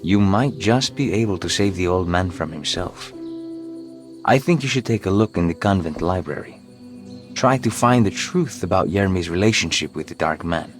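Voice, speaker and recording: Deep-voiced, courteous, mysterious man. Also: animated, normal speed. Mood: courteous, cautioning. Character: grave, courteous, mysterious man warning a young woman. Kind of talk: video game cutscene acting. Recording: background music behind the voice.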